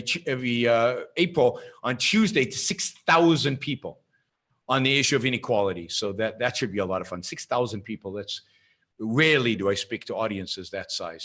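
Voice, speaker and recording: A man's voice: mid-range voice, fairly bright tone. A man hosting his own podcast, chatting casually and enthusiastically in a rambling way with many fillers and restarts. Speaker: podcast host. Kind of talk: casual monologue announcing upcoming talks.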